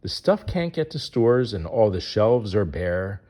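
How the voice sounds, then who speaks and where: Low-voiced man reading a children's book aloud. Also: big pitch swings, a little slow, dark tone. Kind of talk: read-aloud of a rhyming picture book for kids.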